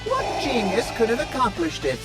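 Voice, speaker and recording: A man's voice: extremely high pitch, wildly swooping pitch, moderate pace, exaggerated voice. A man voicing a fussy, boastful, proudly loyal droid servant in a video game, with a pompous, theatrical manner. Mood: smug. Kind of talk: video game character acting. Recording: loud music behind. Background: game soundtrack music.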